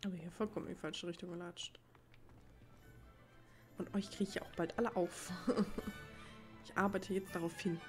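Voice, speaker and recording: A woman's voice: fairly low pitch, fairly even intonation, moderate pace, pauses often. A woman giving casual let's play commentary, rambling and thinking aloud as she plays. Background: faint game music.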